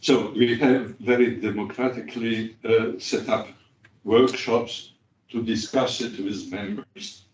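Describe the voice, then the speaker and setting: Guy on a panel talking super slow, lots of ums, far off in a big echoey hall. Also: lowish voice, fairly even intonation, slight background noise. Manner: halting, many pauses and fillers. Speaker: cooperative practitioner on a panel. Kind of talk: panel discussion remarks. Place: large conference hall. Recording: echoey hall, distant dull mic.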